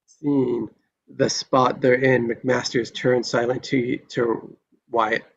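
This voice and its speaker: A man's voice: medium pitch, little pitch movement, takes it slowly, creaky, dull audio. A man reading screenplay stage directions in a dramatic narrating style at a table read.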